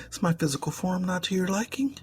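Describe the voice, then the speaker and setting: A man voicing a suave, mocking, predatory demon in an ASMR roleplay, speaking formally and eloquently and often dropping into a breathy whisper. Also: high voice, a little hiss or hum. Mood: smooth, superior.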